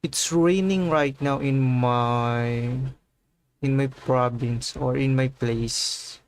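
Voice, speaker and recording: A man's voice: mid-range voice, steady tone, slow, clean tone. A man chatting casually on a gaming livestream, using informal slang and often repeating his phrases.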